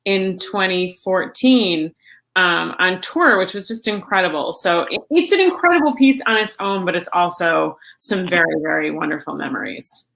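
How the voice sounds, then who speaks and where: A woman's voice: lowish voice, lively intonation, normal speed, gravelly, fairly bright tone. A woman chatting casually as a panelist on a music show, feeling her way through sentences and correcting herself. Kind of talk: casual chat.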